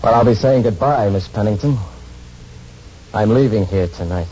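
A man acting in a 1940s radio drama as a bitter, disfigured former athlete who hides from people, brooding and halting. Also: lowish voice, dark tone, husky. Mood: bitter, resolute. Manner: brooding, self-pitying, halting. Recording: muffled, noisy 1940s radio recording.

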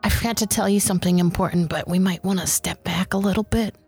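A woman's voice: lowish voice, fairly even intonation, fast talker, darkish tone, breathy edge, faint music behind. A woman playing in a tabletop role-playing podcast, talking casually, partly in character in her everyday voice. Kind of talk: casual in-character game chat.

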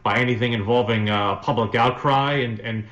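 A man who works as a sports announcer, answering casually as a radio guest in measured, wordy, hesitant phrases. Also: medium pitch, fairly even intonation, a bit bright. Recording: roomy, echoing sound.

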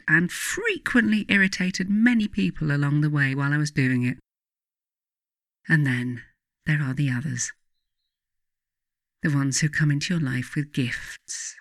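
A British woman reads scripted self-help narration as the author of the text. Her delivery is measured and deliberate, with pauses between phrases. The sound is dry, with hardly any room to it. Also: lowish voice, fairly bright tone, no room sound. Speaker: author reading own book.

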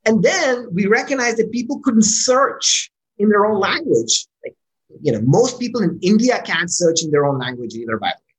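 Animated startup founder. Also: medium pitch, normal speed, poor audio. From Pakistan. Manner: frequent "right?" tag questions, restarts words when excited. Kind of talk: casual interview answer.

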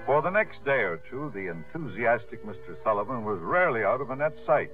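An actor playing a reminiscing theatre manager, narrating a 1950s radio drama. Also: male voice, mid-range voice, sing-song, a little fast, lightly acted. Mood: storytelling. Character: storytelling former theatre manager, reminiscing warmly. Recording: muffled 1950s radio broadcast over music.

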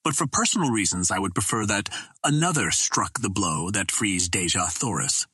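A man voicing a resourceful, lovelorn soldier hero with a firm, measured manner in an audiobook adventure. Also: on the low side, expressive, sharp tone, slightly rough, no echo, studio quality, exaggerated voice. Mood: determined.

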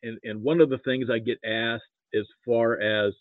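An American man, middle-aged or older, reminiscing casually as an interview guest in a halting way, often repeating words. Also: mid-range voice, fairly even intonation, dry recording. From Nebraska, United States. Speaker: interview guest, football archivist. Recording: thin phone-like line.

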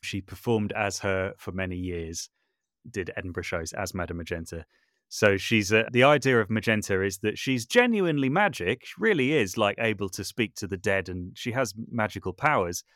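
A man's voice: fairly low pitch, lively intonation, moderate pace, fairly bright tone, dry recording, studio quality. A man talking casually as a podcast guest, explaining things in an informal, conversational way. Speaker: podcast guest, audio drama maker and voice artist. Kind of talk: casual interview talk, explaining.

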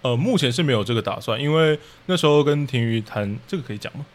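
Fast-talking Taiwanese Mandarin man, explanatory podcast guest. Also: mid-range voice, lively intonation. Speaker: guest, radio drama troupe leader. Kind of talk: casual podcast interview answers.

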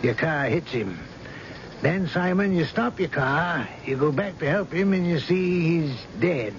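A man's voice: fairly high pitch, animated, measured pace. A man playing a suspicious, insistent police chief interrogating a suspect in a radio drama. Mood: accusing, pressing. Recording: dull, noisy old radio broadcast.

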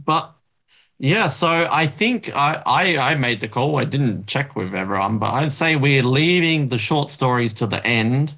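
A man's voice: medium pitch, animated, unhurried, pushed and bright. A man hosting a podcast, chatting casually about books. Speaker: podcast host.

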